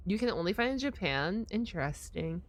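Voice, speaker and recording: A woman streamer giving casual, slangy live reactions in an expressive, exclamatory way. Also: lowish voice, a little fast, a little hiss or hum.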